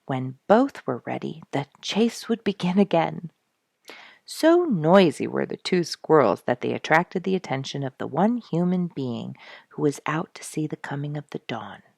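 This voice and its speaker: A woman reading a story aloud to children as a storyteller, expressively and with hushed emphasis. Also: deep voice, sing-song, normal speed, vocal fry, dry recording, clean studio sound.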